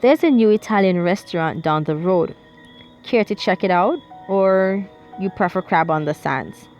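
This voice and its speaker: Woman reading a suave, courteous man, low voice, soft music underneath. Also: moderate pace, natural voice. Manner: courteous, smooth. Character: suave adult man, attentive partner.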